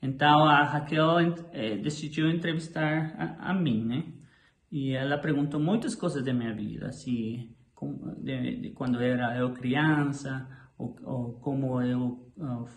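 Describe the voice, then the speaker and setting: Halting Guatemalan man in an echoey room. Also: mid-range voice. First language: Spanish. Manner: halting, many restarts and repeated words. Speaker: missionary. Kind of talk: casual personal testimony.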